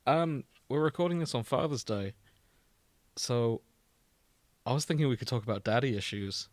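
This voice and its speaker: A man with an average-pitched voice co-hosts a casual fan podcast. He speaks in a loose, conversational, jokey way. The sound is dry, with hardly any room on it. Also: lively intonation, normal speed, dry recording. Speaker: podcast co-host. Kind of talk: casual banter about fandom.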